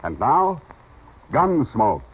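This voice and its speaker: An American man working as a 1950s radio announcer, reading scripted copy with an emphatic salesman's delivery and a raspy voice. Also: medium pitch, huge pitch swings, very slow, heavy background noise. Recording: muffled vintage radio broadcast.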